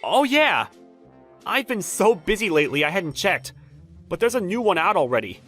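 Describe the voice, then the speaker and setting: A man's voice: sits high, big pitch swings, moderate pace, bright, forceful tone, exaggerated voice. A man playing a flustered, jilted bachelor who narrates his awkward romance with dramatic, exasperated inner monologue in a manga dub. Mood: friendly, sheepish. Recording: background music under the voice.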